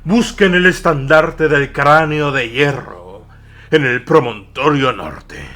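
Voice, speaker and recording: A man playing a fierce, triumphant warlord in an audio war drama, booming out defiant proclamations. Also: expressive, takes it slowly, sharp tone, heavily acted. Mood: defiant shouting. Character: fierce, triumphant warlord warrior bellowing challenges.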